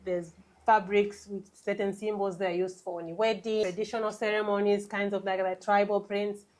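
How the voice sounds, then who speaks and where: A woman's voice: mid-range voice, flat delivery, normal speed. A woman from Zambia, around her thirties, talking casually about running her shop in an interview, with lots of "you know" and "like". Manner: warm, chatty, many fillers like "you know".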